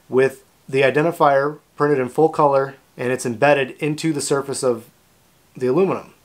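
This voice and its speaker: A man in his thirties with a North American accent, hosting a product demonstration in a plain-spoken, informal, explanatory way. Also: a little high. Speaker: host of a kayak fishing channel. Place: small indoor workshop room.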